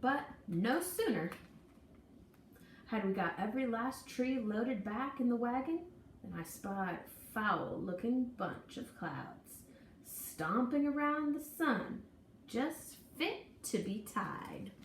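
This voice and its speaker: Slow, animated woman reading a folksy story. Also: a little high. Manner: folksy, deliberate, dramatic emphasis. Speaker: storybook reader. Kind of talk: expressive children's story read-aloud. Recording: echoey room, distant mic, faint noise.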